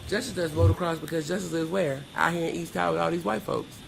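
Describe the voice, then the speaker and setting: A woman's voice: sits low, quick pace, creaky, a little hiss or hum, rough recording. A middle-aged woman giving a candid interview as a podcast guest, speaking in a blunt, emphatic, plain-spoken way.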